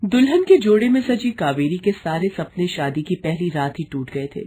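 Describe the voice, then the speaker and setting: A woman's voice: lowish voice, animated, normal speed, dark tone, slight background noise. A woman reading a scripted moral story as its narrator.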